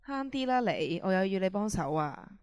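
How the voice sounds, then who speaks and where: A woman's voice: mid-range voice, big pitch swings, normal speed, no room sound, lightly acted. A woman acting a scheming, desperate middle-aged prisoner in an amateur radio drama, commanding and manipulative. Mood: commanding, threatening. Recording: radio drama with music underneath.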